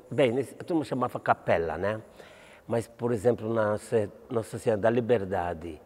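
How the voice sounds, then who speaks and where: A man in his seventies from Malta, speaking with a Maltese accent, a priest answering interview questions in a halting way, restarting words and asking "entende?". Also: mid-range voice, mellow, big-room sound. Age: 70s.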